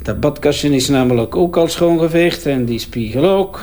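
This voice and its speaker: Sardonic Flemish forensics man, played by an actor, higher-pitched. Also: animated, normal speed, a little mellow, natural voice. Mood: busy, matter-of-fact. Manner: wry, bantering, hesitating as he examines. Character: talkative, sardonic male crime-scene forensic investigator. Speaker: forensic investigator. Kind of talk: naturalistic audio crime drama acting.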